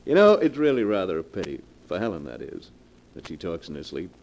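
An actor playing a vain, scheming husband in a 1940s radio melodrama, with a pompous, theatrical manner. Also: male voice, deep voice, sing-song, brisk, darkish tone, slight background noise. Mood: mock pity. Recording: dull 1940s radio recording.

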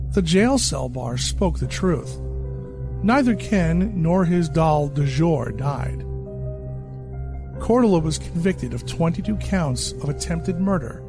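A man with a fairly high, gravelly voice reads a horror story in a measured, deadpan way. Faint music plays behind him. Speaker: narrator.

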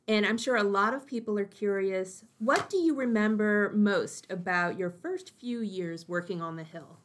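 A woman with a mid-range voice introduces a school podcast episode. Her delivery is clear and polished, like a practiced presenter reading from a script. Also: medium pitch. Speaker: school librarian and podcast host.

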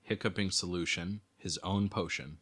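Low voiced guy with a crisp forceful edge, reading out trivia, easygoing chat. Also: fairly even intonation, moderate pace. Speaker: podcast co-host, quizmaster. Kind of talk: casual chat, reading quiz questions aloud.

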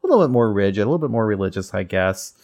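A man from the Kansas City area in casual conversation, easygoing and quick to agree. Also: fairly low pitch, very animated, a little mellow. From Kansas City area, United States. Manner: easygoing, frequent backchannel agreement.

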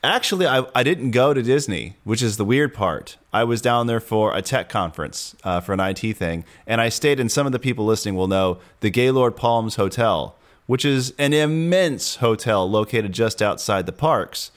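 A man's voice: medium pitch, animated, moderate pace, few pauses. A man hosting a casual podcast, chatty and rambling with fillers and restarts. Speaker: podcast host.

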